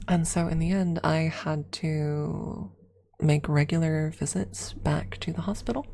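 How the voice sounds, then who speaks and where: A woman telling personal stories as an ASMR streamer, casually chatting in a hushed, unhurried way with frequent pauses. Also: deep voice, gravelly. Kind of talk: ASMR storytelling, casual chat.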